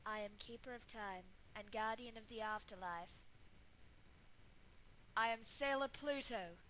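A woman playing a solemn, gentle female guardian of time in a scripted amateur fan musical dub. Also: a little high, unhurried, a little hiss or hum, poor audio. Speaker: amateur voice actor.

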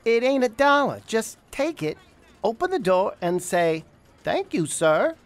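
Woman playing a lively, slangy 1920s wise guy. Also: fairly high pitch, unhurried. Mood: guarded, avuncular. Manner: slangy, wisecracking, folksy. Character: breezy, streetwise 1920s businessman, guarded but friendly. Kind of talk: 1920s-style radio drama acting.

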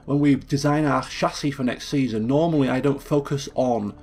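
A man hosting a casual gameplay commentary, thinking aloud and correcting himself as he goes, his voice a little croaky from just waking up. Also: medium pitch, moderate pace, darkish tone, faint music behind. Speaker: let's play host.

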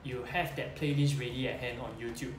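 A man's voice: mid-range voice, fairly even intonation, a little fast, background noise. A man in his forties with a Singaporean English accent, talking casually to camera in a small room, hesitant with many "uh" fillers and a questioning "right?". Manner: gestures with hands while talking. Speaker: investing YouTuber. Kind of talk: casual talking-head explainer. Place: small plain room indoors. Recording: echoey room.

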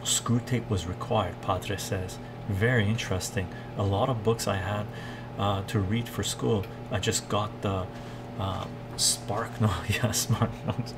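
A man, chatting casually and haltingly on a cooking livestream, musing and repeating phrases for emphasis. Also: lowish voice, fairly even intonation, music in the background. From Canada, born in Iran. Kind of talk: casual livestream chat while cooking, reading viewer comments. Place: home kitchen, indoors. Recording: loud kitchen noise, chopping and clatter.

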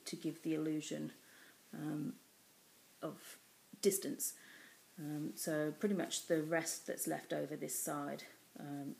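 Low gravelly English woman, chatty. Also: a little hiss or hum, poor audio. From England. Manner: rambling, many ums, warm and chatty. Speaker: cross-stitch vlogger. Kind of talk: casual chatty craft vlog. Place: small room indoors at home. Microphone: built-in webcam mic at close range.

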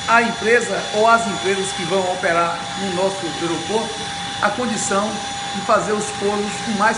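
A man, giving an emphatic, deliberate update to camera like a public speaker. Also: sits high. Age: 50s. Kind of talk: informal speech to camera. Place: bare indoor room by windows. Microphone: camera or phone a short distance away. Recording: echoey room with loud machinery noise.

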